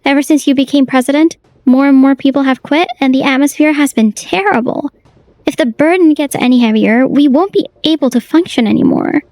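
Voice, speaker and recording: Exasperated female employee voiced by a woman, high-pitched, dry. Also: brisk, a little mellow, no room sound. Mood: fed up.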